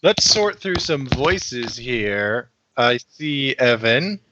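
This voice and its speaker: A man's voice: medium pitch, lively intonation, extremely slow. A man chatting casually on a group voice call, easygoing and joking, chuckling as he talks. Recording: dull lo-fi online call audio with faint noise.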